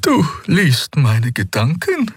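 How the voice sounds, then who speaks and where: A man voicing an ancient, gentle female healer creature who speaks earnestly and haltingly, in an audiobook reading. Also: fairly high pitch, huge pitch swings, husky, no room sound, clean studio sound, pitched above the performer's own voice, full character voice. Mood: pleading. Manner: soft, earnest, halting pleas.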